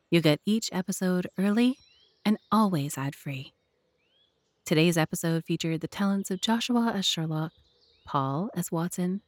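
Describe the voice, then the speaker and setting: Woman, narrator, slightly low pitch, scripted audiobook storytelling, dry recording. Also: darkish tone, no echo. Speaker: narrator and host.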